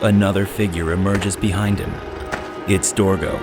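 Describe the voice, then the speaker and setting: A man's voice: low voice, fairly even intonation, normal speed. A man giving scripted dramatic narration for a sci-fi audio drama. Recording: loud music behind the voice.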